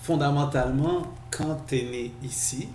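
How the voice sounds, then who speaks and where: A man from Quebec with a Quebec French accent, co-hosting a podcast in casual talk, halting and restarting his sentences often. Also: on the high side, darkish tone. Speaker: podcast co-host. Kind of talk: casual discussion. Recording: echoey room, faint noise.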